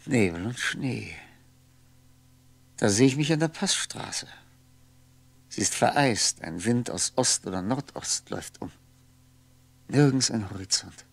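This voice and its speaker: Husky, lonely man musing alone. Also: mid-range voice, expressive, no room sound, exaggerated voice. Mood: musing, hesitant. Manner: musing, halting, wry. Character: lonely man muttering to himself, dryly sceptical. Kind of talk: 1960s German radio play monologue.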